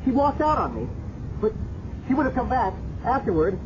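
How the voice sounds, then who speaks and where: A man's voice: very high voice, sing-song, moderate pace. An actor playing a weak, anguished young man in a 1970s radio drama, stammering and pleading in faltering phrases. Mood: flustered, pleading. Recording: muffled, noisy 1970s radio drama recording.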